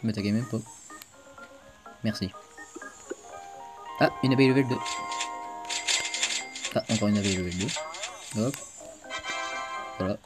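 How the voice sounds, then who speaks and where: A man's voice: on the low side, unhurried, pauses often, over music. A man hosting a casual let's play video, chatting to viewers as he plays, with a stuffed-up cold. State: congested with a cold. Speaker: gaming video host.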